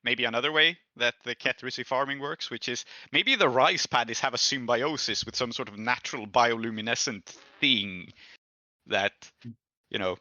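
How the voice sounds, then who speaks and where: A man's voice: mid-range voice, normal speed, sharp tone, no echo. A man talking casually with a group about a game world, on a dull, band-limited recording. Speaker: tabletop roleplay game participant.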